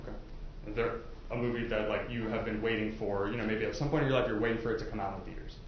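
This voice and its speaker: Muffled, echoey, low-voiced male prosecutor. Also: steady tone, racing. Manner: conversational, chatty, uses everyday examples. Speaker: prosecutor questioning prospective jurors. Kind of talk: informal courtroom jury questioning. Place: courtroom. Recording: echoey, muffled, noisy courtroom recording.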